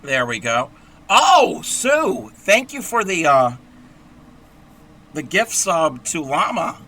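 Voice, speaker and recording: A man with a hoarse, scratchy voice who talks through a crochet tutorial in a rambling, chatty way and clears his throat often. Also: on the high side, big pitch swings, slow, pushed and bright. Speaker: crochet livestream host.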